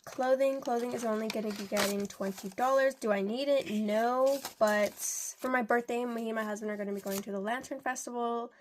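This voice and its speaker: A woman's voice: on the high side, fairly even intonation, fairly quick, hardly any pauses, background noise. A young woman with a congested voice from a cold, chatting in a rambling way while counting out cash for her budget. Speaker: budgeting vlogger.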